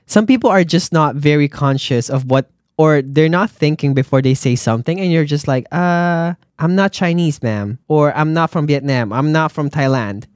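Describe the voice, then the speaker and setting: A man hosting a podcast, reading listener stories aloud and reacting with animated exclamations and frequent laughter. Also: on the high side, normal speed, hardly any pauses, dry recording, studio quality. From Philippines. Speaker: podcast host, nurse.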